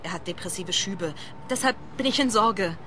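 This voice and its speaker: An actress playing an anxious, neurotic woman in a German-language radio play, nervous, stammering and highly strung. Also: moderate pace, noisy background, close to an everyday voice. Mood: worried. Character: anxious neurotic woman, troubled former clinic patient.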